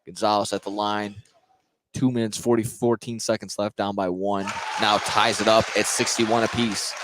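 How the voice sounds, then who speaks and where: A man calling live high school basketball play-by-play as a radio sports announcer. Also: flat delivery, normal speed, a crowd in the background. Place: indoor basketball gym, courtside. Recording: noisy live gym ambience. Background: loud game ambience.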